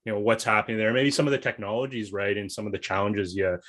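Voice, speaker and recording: Low-voiced man hosting casually. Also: fast talker, fairly bright tone. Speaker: podcast host. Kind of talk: casual interview chat.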